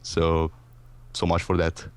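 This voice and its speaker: A man's voice: low voice, completely flat delivery, slow, clean tone. A man co-commentating a video game casually, with a dry, sarcastic way of talking. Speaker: gaming co-commentator.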